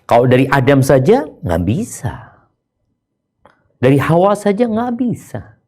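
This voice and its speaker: Male preacher, sermon delivery, very lively pitch, whispers and exclamations. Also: unhurried. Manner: animated, swings from hushed whispers to raised exclamations.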